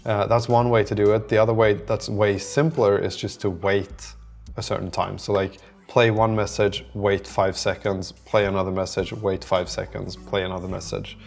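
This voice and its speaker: A man's voice: lowish voice, level tone, normal speed, soft background music. A man talking casually in a vlog, thinking aloud in a rambling, reflective way and often trailing off mid-thought. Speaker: app developer documenting his work on a vlog.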